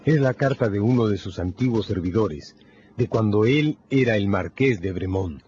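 A man delivering dramatic scripted narration for a radio novel, solemn and emphatic, with deliberate phrasing. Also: on the low side, slight background noise. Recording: dull lo-fi radio production, loud music.

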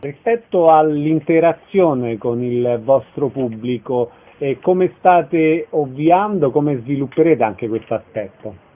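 A man working as a radio interviewer, putting measured questions to a guest. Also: a little high, animated. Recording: narrow phone-like radio sound.

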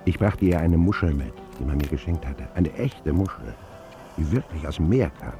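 An actor playing a grown man who narrates his boyhood memories in a radio drama, wry, reflective and expressive. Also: a bass voice, moderate pace, over music. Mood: nostalgic, wry.

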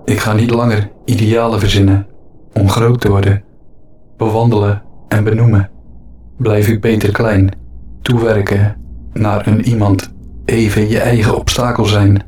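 A man delivering a reflective spoken-word monologue in short, deliberate, repeated phrases for an audio-play podcast. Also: on the low side, flat delivery, darkish tone. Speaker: podcast host. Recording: echoey room sound, faint background noise.

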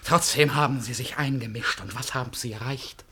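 A man's voice: mid-range voice, a little fast, bright, forceful tone, slight background noise, natural voice. An actor playing a feverish, erratic, accusing man in breakdown, theatrical, in a 1950s German radio drama. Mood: agitated, bitter. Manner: theatrical, swinging between whispers and outbursts. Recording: slightly muffled-voiced 1959 radio production.

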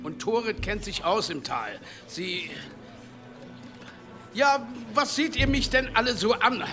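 Stern, authoritative headman, middle-aged, high register, audio drama delivery over music. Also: lively intonation, slow. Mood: indignant, commanding. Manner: interrogating, authoritative. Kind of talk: German audio drama acting.

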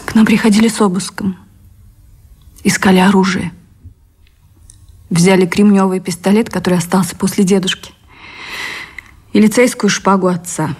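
An actress as breathy, ardent woman in love. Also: medium pitch, fairly even intonation, moderate pace, long pauses, darkish tone, natural voice. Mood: troubled. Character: Anna, refined, ardent woman in love, early 20th century. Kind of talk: Russian radio drama acting.

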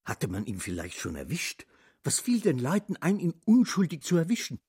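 An actor playing an indignant, sly petty thief's inner voice in a literary radio drama, with a husky tone. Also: male voice, on the high side, huge pitch swings, normal speed, a little mellow, heavily acted.

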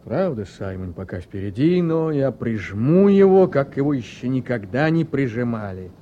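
An actor in a Russian radio play voicing an embattled, blustering politician who is desperate and scheming, speaking emphatically and commandingly. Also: male voice, mid-range voice, very animated, normal speed, warm tone, highs cut off, a little hiss or hum, close to an everyday voice. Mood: frantic, driven.

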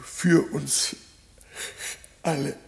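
An actor playing a kindly, idealistic old royal counsellor, earnest and halting, with a creaky voice, in a German radio play. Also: male voice, fairly high pitch, level tone, exaggerated voice. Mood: dreamily idealistic.

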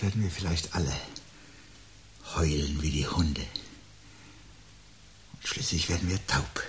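An actor playing a resigned, brooding man awaiting the end of the world, speaking gravely and deliberately in a radio drama. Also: sits low. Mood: foreboding, restrained. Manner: grave, deliberate, literate and reflective. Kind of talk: 1970s German radio drama acting. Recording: 1970s radio recording with loud hum.

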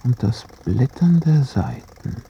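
A woman narrating a poetic radio drama in a slow, hushed and reflective way. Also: a bass voice, animated, muffled recording, a little hiss or hum.

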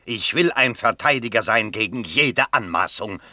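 An actor in a German radio play as an anxious, opportunistic middle-class man running for parliament, pompous and husky, wavering between muttering and declaiming. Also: fairly low pitch, animated, normal speed, pushed and bright, dry recording, studio quality. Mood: declamatory.

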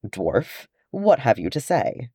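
A man's voice: medium pitch, wildly swooping pitch, slow, a little mellow, slightly rough, dry recording, clean studio sound, full character voice. A man voicing a cold, haughty male elf from a storybook, speaking in clipped, formal phrases. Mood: cold disdain.

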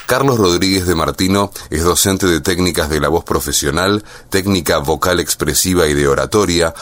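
A man's voice: deep voice, normal speed, fairly bright tone, slight background noise. A man reading a scripted profile as a radio announcer. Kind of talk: scripted biographical narration.

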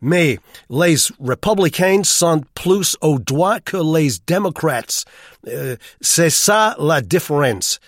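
Young woman done by a man, high pitch, halting, doubtful, friendly, audiobook character. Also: animated, no room sound, clean studio sound. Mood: hesitant. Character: hesitant, giggly young American woman, helpless, friendly.

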